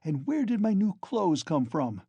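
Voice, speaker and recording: A man's voice: high voice, sing-song, measured pace, warm tone, breathy edge, no room sound, lo-fi sound, pitched above the performer's own voice, full character voice. A man reading an audiobook, voicing a volatile, feverish young man who mocks and pleads bitterly. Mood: feverish mocking. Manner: mocking, then pleading in a frenzy.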